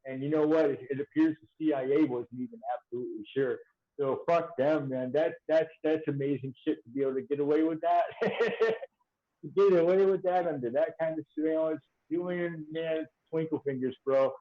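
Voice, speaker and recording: Hoarse, profane man on a muffled livestream. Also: a little high, steady tone, moderate pace, no echo. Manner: rambling, profane, slangy. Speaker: activist journalist on a livestream panel. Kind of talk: casual impassioned panel chat. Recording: dull lo-fi stream audio.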